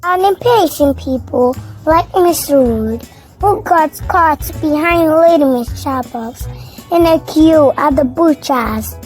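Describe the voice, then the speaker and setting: An expressive storyteller reading a children's story aloud in a slow, deliberate way, with children's music behind. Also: high-pitched.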